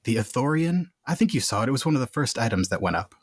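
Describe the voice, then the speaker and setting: A man's voice: mid-range voice, sing-song, fast talker, slightly rough, no echo, studio quality. A man playing a tabletop game, improvising casually as his character and describing what the character does.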